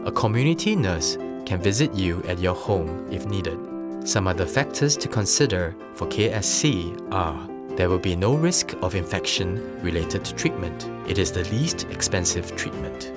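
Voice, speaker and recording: Male narrator, low voice, calm explainer tone over loud music bed. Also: moderate pace. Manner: calm, even explanatory delivery. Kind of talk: scripted health information narration.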